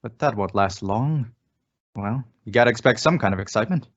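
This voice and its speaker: A man's voice: fairly low pitch, lively intonation, a little fast. A man playing a folksy, insinuating former small-town police chief in an old-time radio suspense drama, coaxing and persuasive. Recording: dull, lo-fi audio.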